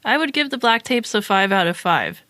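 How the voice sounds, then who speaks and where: A woman's voice: mid-range voice, sing-song, normal speed, bright, forceful tone, no echo. A woman co-hosting a podcast, reading out a prepared review in a scripted style.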